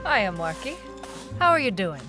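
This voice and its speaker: A woman's voice: medium pitch, sing-song, normal speed, lightly acted. An actress playing a hardboiled young woman private eye, wry and businesslike, in a noir audio drama. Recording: music bed under the voice. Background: music.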